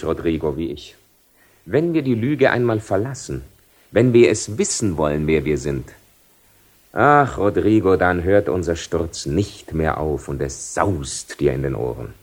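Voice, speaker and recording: Cynical seducer nobleman, low, sweeping delivery. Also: a little mellow, full character voice. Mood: bitter farewell. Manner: theatrical, articulate, ironic, declaiming. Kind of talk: 1970 German radio drama acting.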